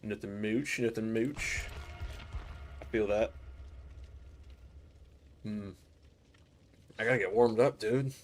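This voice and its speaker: Hoarse, laid-back man streaming a game. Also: on the low side, fairly even intonation, takes it slowly, long pauses. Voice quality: raspy throat. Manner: laid-back, chatty, slangy asides to chat. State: hoarse, recovering from a cold. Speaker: gaming streamer. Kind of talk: casual live gameplay commentary.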